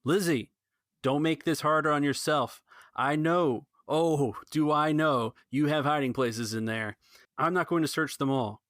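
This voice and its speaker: Villainous private eye, played by a male game master: loud, theatrical, menacing, pitched above a normal talking voice. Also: unhurried, no echo, studio quality. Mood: taunting. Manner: shouting, theatrical.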